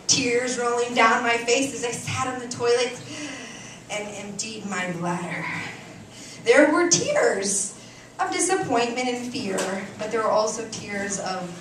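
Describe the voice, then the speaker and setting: A woman performing a short story at a live reading in a cafe, with an expressive, deliberate, theatrical delivery and dramatic pauses. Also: a little high. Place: indoor cafe stage before an audience. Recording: echoey live venue, distant mic, room noise.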